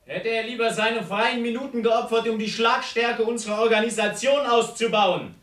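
An actor playing a strident revolutionary agitator in a radio play, forceful and declamatory. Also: male voice, very high-pitched, normal speed, roomy sound, distant mic, slight background noise. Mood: strident, militant.

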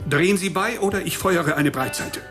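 A man's voice: sits high, moderate pace, sharp tone, soft background music. An actor playing an officious, pompous doorman in a radio play, speaking with naval-style formality. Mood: officious, challenging.

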